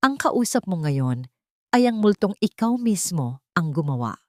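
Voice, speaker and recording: A woman performs a wronged wife in an audio love drama. She is resolute and composed, speaking in a lowish, cold voice that gives no ground. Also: sing-song, unhurried, a little mellow, dry recording, studio quality. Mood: cold, calm. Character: calm, resolute wronged wife and protective mother.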